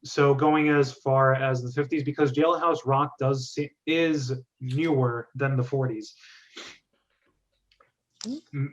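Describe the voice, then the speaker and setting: A man hosting a group discussion, giving feedback in a wordy, measured, organized way. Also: medium pitch, fairly even intonation, muffled recording. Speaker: host of a script reading club.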